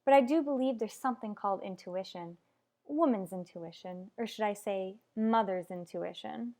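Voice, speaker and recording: A woman's voice: mid-range voice, normal speed, darkish tone, close to an everyday voice. A woman playing an anxious, clinging mother in an old-fashioned radio soap opera, speaking in an earnest, emotional way. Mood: defensive.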